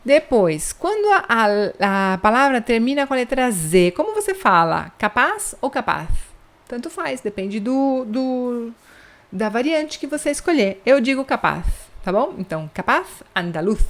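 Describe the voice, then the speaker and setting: A woman's voice: on the high side, big pitch swings. Brazilian woman, young, casual online teacher, keeps checking in, drawls out example words. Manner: chatty, checks in with "tá bom?" after points; slows down to spell out example words. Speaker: Spanish teacher. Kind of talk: online language lesson.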